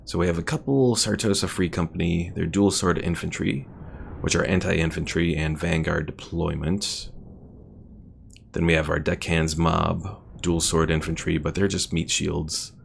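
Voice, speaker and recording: A man's voice: low voice, steady tone, normal speed, gravelly, a little hiss or hum. A man giving casual gameplay commentary as a streamer, thinking aloud as he plays. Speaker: streamer playing a strategy game. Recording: game music behind voice.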